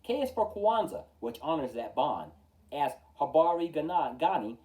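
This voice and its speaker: A man hosting a storytime, reading a rhyming children's book aloud in a theatrical, measured way, with emphatic openings and falling line endings. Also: on the high side, sing-song, dark tone, recorded from a distance. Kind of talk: expressive children's picture-book read-aloud in rhyme.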